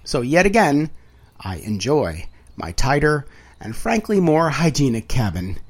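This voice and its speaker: A man's voice: fairly high pitch, very animated. Man reading a wry literary audiobook. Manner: dramatic, wry, deliberate phrasing with emphatic swells and hushed drops. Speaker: audiobook narrator.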